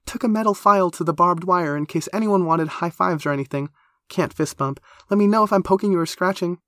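A man voicing an excitable, nervous young female creature in an audiobook, gushing, flustered and breathless as the words tumble out. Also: medium pitch, a little fast, dry recording, clean studio sound, pitched above the performer's own voice, lightly acted. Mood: eager, nervous. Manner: gushing, flustered, stammering, emphatic. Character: excitable, nervous teenage girl creature with barbed-wire hair.

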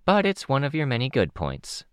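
Man voicing a wry, sharp-tongued woman, pitch raised. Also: huge pitch swings, normal speed, smooth, no echo, studio quality.

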